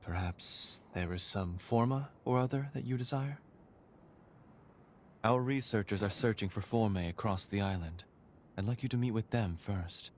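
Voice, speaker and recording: A male actor playing a composed, courteous institute leader in a video game cutscene, speaking in formal, measured, eloquent phrases. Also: fairly low pitch, a little hiss or hum, close to an everyday voice. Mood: formal, assured. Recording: game audio with background music.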